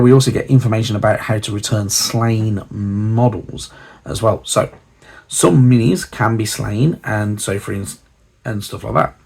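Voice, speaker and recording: A man with a cold, hoarse and croaky, rambling casually through a hobby magazine walkthrough with many fillers. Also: on the low side, darkish tone. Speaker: channel host reviewing a hobby magazine.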